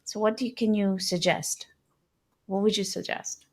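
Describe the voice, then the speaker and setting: A woman's voice: medium pitch, fairly even intonation, normal speed. A middle-aged woman hosting an interview, asking reflective questions with hesitant restarts. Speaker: podcast host. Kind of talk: conversational interview.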